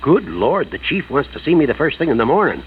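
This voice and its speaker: An actor playing a harried, grumbling police inspector in a 1940s radio drama, exasperated and blustering. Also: male voice, mid-range voice, big pitch swings, fairly quick, lightly acted. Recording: muffled vintage radio, faint noise.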